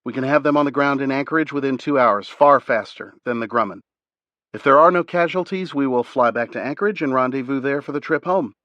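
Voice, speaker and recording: A man's voice: medium pitch, fairly quick, fairly bright tone, dry recording, highs cut off, pitched above the performer's own voice, close to an everyday voice. A man reading aloud, voicing a confident female ex-Army pilot with a Southern drawl. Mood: briefing. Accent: Southern US (Alabama).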